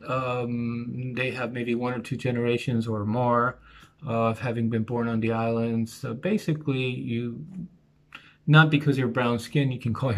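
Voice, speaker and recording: A man with a rough, gravelly edge in his voice tells his own story at an ordinary pitch. He rambles and circles back, filling pauses with ums and uhs. It sounds like a casual, unscripted personal video. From United States. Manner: rambling, reflective, many fillers and restarts. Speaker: vlogger. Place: outdoors near a bus stop in a small Italian town.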